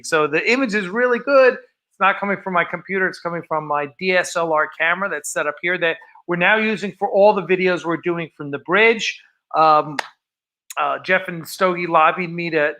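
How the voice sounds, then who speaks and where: Man, high-pitched, sharp bright voice, informal livestream host, rambling casual delivery. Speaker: host of a fan production livestream. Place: film set of a starship bridge.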